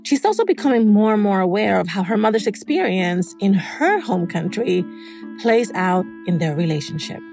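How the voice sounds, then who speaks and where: A woman hosting a podcast, reading a calm, measured introduction of her guest. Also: medium pitch, vocal fry, over music. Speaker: podcast host. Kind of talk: scripted introduction.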